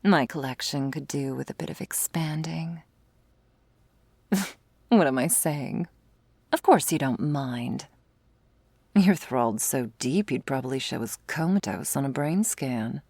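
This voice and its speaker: A woman playing a smug, predatory female vampire in an ASMR roleplay, flirtatious and taunting, with teasing, drawling, breathy delivery. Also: a bass voice, lively intonation, unhurried, pauses often, a little mellow, no echo, heavily acted. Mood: gloating, flirtatious.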